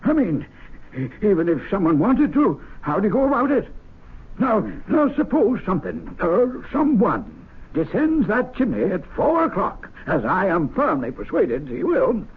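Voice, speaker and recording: Jittery stammery posh club gent, high and raspy, old radio drama, tinny. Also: male voice, sing-song, unhurried, exaggerated voice. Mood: anxious. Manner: stammering, fussy, agitated. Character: excitable, nervous gentleman of an exclusive club.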